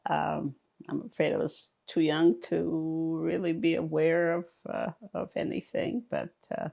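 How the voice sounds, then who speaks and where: A woman in her eighties, born in Romania with German as her first language, giving slow, halting oral history testimony full of fillers and restarts. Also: low voice, level tone, gravelly, a little mellow. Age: 80s. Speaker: interviewee.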